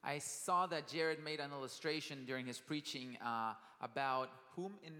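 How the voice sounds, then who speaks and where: A man's voice: mid-range voice, moderate pace, pushed and bright. A man on a conference hall stage interpreting a sermon phrase by phrase, with brief hesitations. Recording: large hall reverb.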